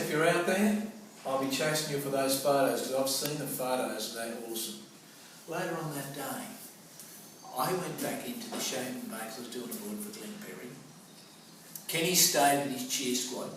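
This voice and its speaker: Australian man storytelling in an echoey space. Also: a little high. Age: middle-aged. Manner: colloquial, theatrical, acts out remembered dialogue. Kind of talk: animated personal anecdote storytelling. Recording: roomy, echoing, noisy distant microphone.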